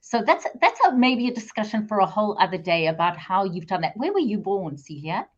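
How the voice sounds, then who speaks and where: A woman's voice: mid-range voice, sing-song, fairly quick. Talkative woman host on a dull call. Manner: enthusiastic, talkative. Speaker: interview host. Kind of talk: video call interview.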